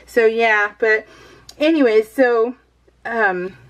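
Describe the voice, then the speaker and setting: A woman's voice: a little high, slow. A middle-aged American woman chatting casually over her craft project as a vlogger, rambling and easily sidetracked, with a bubbly manner.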